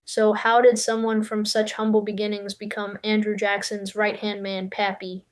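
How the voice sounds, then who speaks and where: A woman co-hosts a scripted history podcast in a mid-range voice. Her delivery stays flat and measured as she asks prompting questions and sums up what her partner says. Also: mid-range voice, clean tone. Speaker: co-host.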